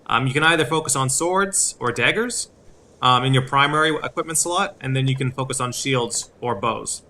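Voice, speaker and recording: A man in his thirties hosting a game walkthrough, explaining things in a halting way. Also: mid-range voice, bright, forceful tone, slight background noise. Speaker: video series host. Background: game music.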